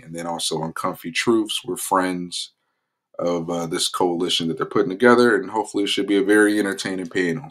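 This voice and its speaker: A man hosting his own political commentary channel, talking loosely in a casual vlog promo with lots of fillers and restarts. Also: low voice. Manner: relaxed, rambling with many fillers.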